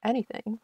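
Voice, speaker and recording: A woman's voice: a little high, warm tone, slightly rough, dry recording. A woman chatting casually on a live game stream, thinking aloud in a self-deprecating way.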